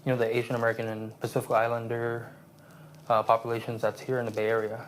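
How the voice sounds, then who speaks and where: A man in his late twenties from Vietnam, raised in San Jose, speaking as an interview guest in a halting, reflective way with many restarts. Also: medium pitch, monotone, a little hiss or hum, poor audio. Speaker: guest, aspiring therapist.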